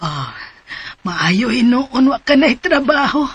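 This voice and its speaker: A woman's voice: a little high, very animated, slow pace, slightly rough, no echo, full character voice. A woman with a Cebuano accent playing an elderly widowed mother in a radio drama, fretful and scolding. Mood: worried. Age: older.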